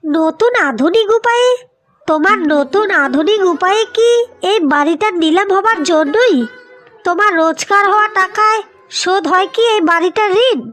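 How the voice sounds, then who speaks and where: A woman's voice: very high voice, moderate pace, fairly bright tone, faint music behind. A woman voicing a nagging, practical and resentful co-wife in a cartoon, with a scolding, accusing manner. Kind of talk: cartoon voice acting.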